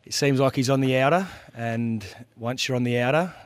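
An Australian man co-hosting a sports radio show, a bit husky from being sick, giving measured, thoughtful football analysis. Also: medium pitch, no room sound. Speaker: radio co-host, former footballer. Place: radio studio.

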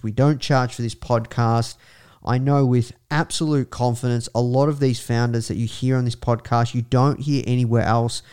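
A man hosting a business podcast, energetic in his intro and hesitant with many fillers when asking questions. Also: mid-range voice, clear, resonant tone. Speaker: podcast host.

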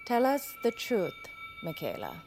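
A woman acting a composed, doubting government interrogator in an audio drama, deliberate, controlled and precise. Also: fairly high pitch, wildly swooping pitch, loud music behind the voice. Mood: pressing.

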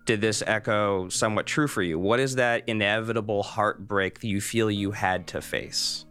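A man hosting an interview, speaking earnestly and deliberately in a conversational way. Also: fairly low pitch, fairly even intonation, fairly bright tone, clean tone. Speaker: podcast host interviewer.

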